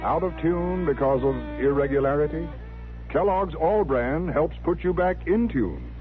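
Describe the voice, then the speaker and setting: A man's voice: a little high, measured pace, over music. A man reading a scripted 1950s radio commercial as an announcer, with an emphatic, polished sales delivery. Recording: tinny old radio broadcast.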